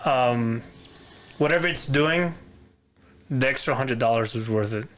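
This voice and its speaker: A man's voice: medium pitch, measured pace, fairly bright tone. A man with a Filipino accent co-hosting a podcast, chatting casually with lots of fillers and restarts. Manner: hesitant, many fillers and repeats. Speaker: podcast co-host. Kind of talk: casual chat.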